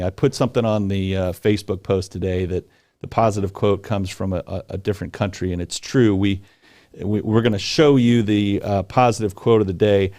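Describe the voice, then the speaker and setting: A man's voice: lowish voice, darkish tone. A middle-aged man hosting a podcast, chatting in a playful, rambling way with restarts. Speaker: podcast host. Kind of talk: casual joking podcast chat.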